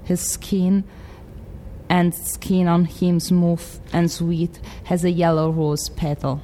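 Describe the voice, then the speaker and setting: A woman's voice: lowish voice, little pitch movement, slow, warm tone, long reverb tail, slight background noise. A woman with an Italian accent reading as a proud, fiercely devoted middle-aged widow in an impassioned monologue. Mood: defiant, proud.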